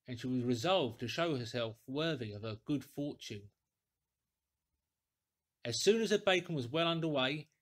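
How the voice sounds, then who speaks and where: A man reading a classic story aloud in a deliberate, theatrical, clearly articulated way. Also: fairly high pitch, fairly bright tone. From England. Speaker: audiobook reader, actor. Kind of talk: dramatic scripted storytelling.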